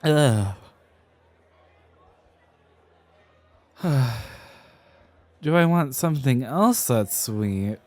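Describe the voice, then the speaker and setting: A man playing a flustered, dramatic, tipsy young scholar in an ASMR roleplay, enunciating carefully with halting, theatrical flourishes and an affectionately defensive temper. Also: mid-range voice, lively intonation, darkish tone. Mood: tipsy, fussy. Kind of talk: ASMR roleplay, acted one-sided conversation.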